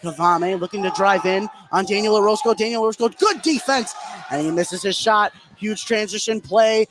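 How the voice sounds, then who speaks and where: A man giving excitable live play-by-play radio commentary on a basketball game, his voice rising on big plays. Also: high-pitched, moderate pace. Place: live at a high school basketball gym. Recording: clear background noise, gym ambience.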